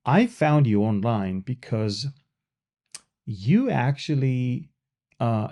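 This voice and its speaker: A man with an Israeli accent hosting a podcast interview, talking in a relaxed, conversational way. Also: mid-range voice, creaky. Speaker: podcast host.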